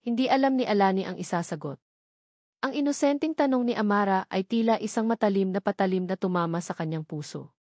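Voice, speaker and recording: A woman's voice: medium pitch, fairly quick, a little mellow, no echo, studio quality. A woman reading a scripted love story as a dramatic narrator.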